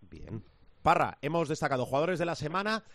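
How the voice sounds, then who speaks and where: A man's voice: fairly high pitch, sing-song, a little fast, creaky, fairly bright tone, dry recording. A man hosting casual sports talk, prompting colleagues with hesitant phrasing. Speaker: sports show host.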